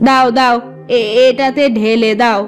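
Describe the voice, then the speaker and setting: A woman playing a gentle, honest and sensible village wife in a Bengali cartoon. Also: a little high, steady tone, a little slow, faint music behind, close to an everyday voice. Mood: helpful. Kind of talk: Bengali moral cartoon voice acting.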